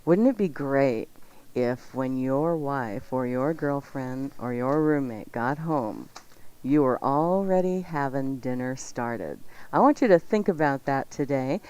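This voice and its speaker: A woman's voice: extremely low pitch, animated, a little slow, mellow, clean tone, highs cut off. A woman co-hosting a cooking show, presenting casually and chatting informally to viewers.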